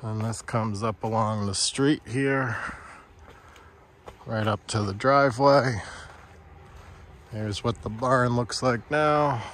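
A man's voice: mid-range voice, slow, long pauses, a bit bright. A man giving a plain, matter-of-fact walkthrough of his building site, filming on a handheld phone outdoors. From Vermont. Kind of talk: casual walkthrough narration. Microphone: handheld phone camera.

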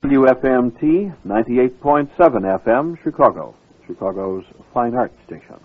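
A man's voice: mid-range voice, expressive, moderate pace. A man working as a radio station announcer, reading scripted ads and programme introductions. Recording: narrow, phone-like radio sound.

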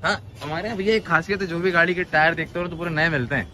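Fast-talking young man vlogging outdoors. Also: on the high side, bright, forceful tone, a little hiss or hum. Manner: chatty, asks questions, repeats "okay, okay". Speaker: car vlogger host. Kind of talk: casual vlog walkthrough. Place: outdoor used car lot.

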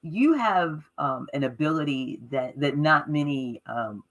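A woman's voice: deep voice, lively intonation, measured pace, fairly bright tone. Enthusiastic woman hosting an interview. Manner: enthusiastic, emphatic. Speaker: host. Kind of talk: live interview. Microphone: webcam on a video call.

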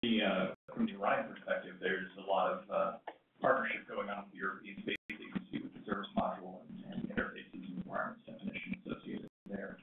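A man asking a question from the audience at a conference, with halting, roundabout phrasing. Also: sits low, fairly even intonation. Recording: gravelly distant phone-like pickup, echo, faint noise.

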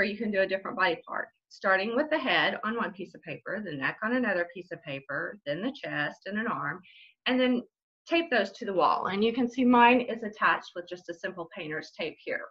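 Bright-voiced woman explaining a craft. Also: mid-range voice, muffled recording. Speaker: library story time and craft host. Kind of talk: instructional craft talk to camera. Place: small bright room at home, indoors.

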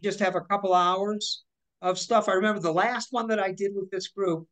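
A woman in her forties, a voice actor, telling animated stories with lots of restarts over a video call from her living room. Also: mid-range voice, normal speed. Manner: chatty, animated, with many restarts and hand gestures. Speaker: guest voice actor. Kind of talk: casual interview storytelling.

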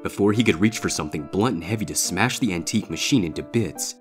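A man's voice: sits low, animated, fast, soft background music. A man narrating a scripted story in a dramatic reading style.

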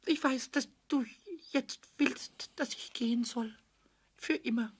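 A squeaky little imp of a kobold, childlike and emotional. The voice is very high and slow, stumbling and repeating itself as it pleads, in the style of a children's radio play. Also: male voice, fairly even intonation, husky, exaggerated voice. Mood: remorseful, pleading. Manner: stammering, repeating, plaintive.

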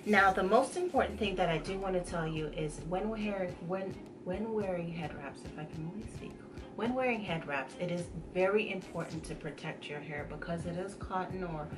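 A young woman from Uganda giving a casual tutorial as a guest, explaining in a halting, conversational way. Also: lowish voice. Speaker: guest head wrap vendor giving tutorial. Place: small indoor room, sequin backdrop. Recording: music playing behind the voice.

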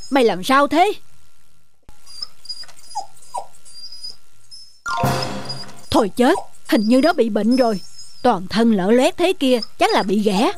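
A man's voice: very high-pitched, exaggerated voice. A man voicing a fretful, self-serving village man in a folktale cartoon, exclaiming and muttering to himself. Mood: puzzled, worried. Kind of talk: Vietnamese folktale cartoon dubbing.

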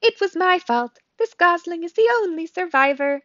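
A woman's voice: high-pitched, measured pace, fairly bright tone, dry recording, dull audio, voice pushed up. A woman voicing a friendly, literal-minded female robot who speaks in cheerful, formal, precise sentences. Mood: polite, cheerful.

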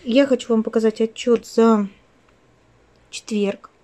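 A woman's voice: fairly high pitch, steady tone, unhurried, dark tone, a little hiss or hum. A woman talking casually as a craft vlogger at home, rambling and interrupting herself in an affectionate way. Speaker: craft vlogger, channel host. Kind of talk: casual chatty craft vlog. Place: at home, indoors.

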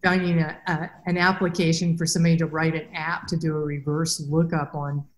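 A woman in her fifties hosts a podcast over a video call, her voice sitting a little low. She sounds eager and halting, restarting phrases as she goes. A faint noise hums behind her. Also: level tone. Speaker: podcast host. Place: indoors, plain room.

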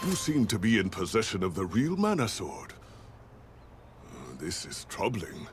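An actor playing a stern, grave older knight in a video game, speaking in a formal, measured way. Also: male voice, fairly low pitch, very animated, over music. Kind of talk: dubbed game dialogue.

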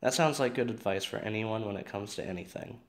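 A man's voice: on the low side, a little fast, close to an everyday voice. A man playing a curious young friend in a scripted drama, speaking plainly and questioningly. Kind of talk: scripted audio drama dialogue.

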